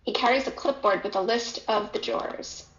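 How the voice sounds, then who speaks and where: A woman's voice: a little high, normal speed. A woman reading stage directions as a scripted narration. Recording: echoey room, dull lo-fi audio.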